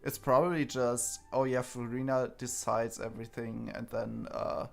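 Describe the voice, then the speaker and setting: Gravelly-voiced man chatting over a game. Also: mid-range voice, little pitch movement. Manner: halting, thinking aloud. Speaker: streamer playing a game. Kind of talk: casual chat, game commentary. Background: game music.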